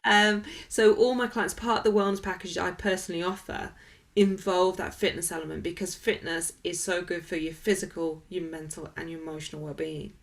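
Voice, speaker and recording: Low-pitched woman coach, motivational. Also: steady tone, brisk. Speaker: wellness coach being interviewed. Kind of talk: conversational interview answers.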